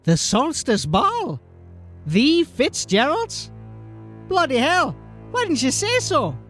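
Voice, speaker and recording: An actor playing a brash, slangy street informant who insists on being heard in a fantasy audio drama. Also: male voice, very high-pitched, wildly swooping pitch, slow, a bit bright, faint music behind, full character voice. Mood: agitated. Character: pushy, agitated street informant with urgent news.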